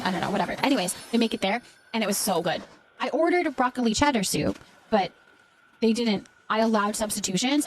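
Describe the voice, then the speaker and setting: A woman's voice: mid-range voice, a little hiss or hum. A middle-aged woman hosting a casual live stream, chatting informally and thanking viewers as she answers their comments.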